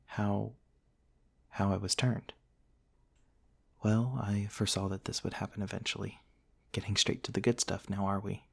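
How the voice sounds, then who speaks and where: A man playing a gentle, courtly, centuries-old vampire prince in a soft, intimate ASMR roleplay, tender and hesitant with old-fashioned politeness. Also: on the low side, little pitch movement, moderate pace, vocal fry, long pauses, husky. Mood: tender, shy, then amused.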